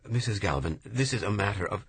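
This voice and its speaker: A man's voice: fairly low pitch, lively intonation, a little fast, husky, no echo, full character voice. A man voicing an impatient, fretful publisher who complains and demands answers in a storybook reading.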